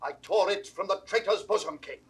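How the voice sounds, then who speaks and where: A man with a British accent playing a stern, unforgiving old duke in a classical Shakespearean audio drama, declaiming vehemently in a husky voice. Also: high voice, a little fast. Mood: grim accusation. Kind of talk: classical Shakespearean stage acting. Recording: vintage 1960s studio recording.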